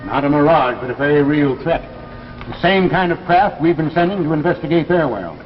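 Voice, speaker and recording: A man plays a firm recruiter who presses his case with measured gravity. His voice rings in a long reverb on a muffled 1950s broadcast, with light music behind this science fiction drama. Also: on the high side, animated, close to an everyday voice. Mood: grave, urgent. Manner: measured, insistent, grave. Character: firm, persuasive recruiter for a secret space project. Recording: echoing, dull vintage 1950s broadcast, faint music.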